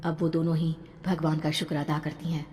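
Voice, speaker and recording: Slightly low-pitched woman narrating horror. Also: level tone, fairly quick, darkish tone. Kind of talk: scripted dramatic horror storytelling. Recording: long reverb, background noise.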